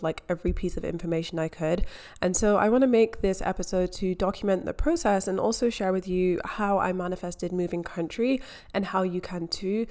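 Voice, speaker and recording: Young Scottish woman, podcast host, candid and chatty, lower-pitched. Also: a little mellow. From Scotland. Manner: chatty and candid, self-deprecating asides. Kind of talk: casual solo podcast monologue.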